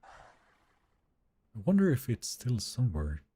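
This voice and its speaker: Husky Swedish man, lively delivery. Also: medium pitch, slow. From Sweden. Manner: relaxed, chatty, answers chat questions. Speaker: streamer. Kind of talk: live gameplay stream, chatting with viewers.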